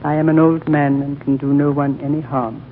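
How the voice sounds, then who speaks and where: A man playing an ancient, gentle, solemn lama in a 1940s radio drama, speaking in a slow, courtly, measured way. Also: fairly high pitch, flat delivery, lightly acted. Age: older. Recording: old narrow-band radio recording, faint noise.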